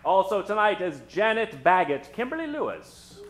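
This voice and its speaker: A man hosting a live old-time radio show, introducing characters from the stage in a dramatic, drawn-out storytelling style. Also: sits high, very animated, a crowd in the background, noisy background. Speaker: host and announcer. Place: stage before a live studio audience. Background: musical accompaniment.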